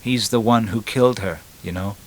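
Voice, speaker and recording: A man's voice: lowish voice, moderate pace, a little hiss or hum, natural voice. A man playing a glib, wisecracking young man. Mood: bitter, self-justifying. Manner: chatty, wisecracking, punchy emphasis. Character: glib, joking, resentful young man from Los Angeles.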